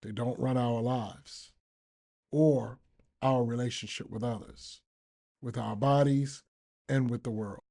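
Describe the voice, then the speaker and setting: A man reads a short devotional text at a slow pace. His voice is mellow and relaxed, with deliberate phrasing and pauses. It sounds like a scripted daily reading for a podcast. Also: mid-range voice, vocal fry. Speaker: podcast reader, recovering addict.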